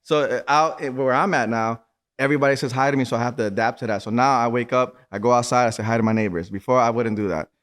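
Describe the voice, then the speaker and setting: A young man from New York hosting a casual podcast chat, talking loosely with quick stuttering restarts and plenty of swearing. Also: medium pitch, a bit bright. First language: Spanish. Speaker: podcast host.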